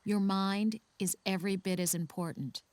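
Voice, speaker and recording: A woman playing a caring but firm counselor in a video game, explaining things with gentle insistence. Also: mid-range voice, steady tone, moderate pace, no room sound, close to an everyday voice. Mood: instructive.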